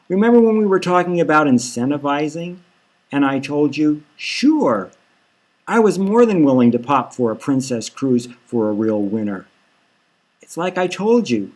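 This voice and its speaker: A man's voice: on the high side, rough recording. A man voicing a slick, patronizing male boss in a satirical audiobook, with a folksy, cajoling hard-sell manner. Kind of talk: satirical audiobook character reading.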